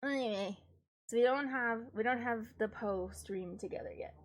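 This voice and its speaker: A woman's voice: on the high side, moderate pace. A young woman streamer with a stuffed-up, congested voice, chatting casually and laughing at herself. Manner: chatty, self-deprecating, giggly asides. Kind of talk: casual chat.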